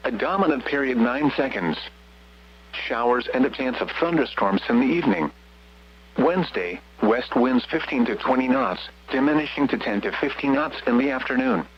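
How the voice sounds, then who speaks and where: A man's voice: medium pitch, lively intonation, normal speed. Synthetic man's voice, radio bulletin reader, impersonal, clipped phrasing, dull band-limited sound, faint noise. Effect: radio broadcast. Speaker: weather radio announcer. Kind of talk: scripted marine forecast and advisory bulletin. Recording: muffled, noisy weather radio with hum.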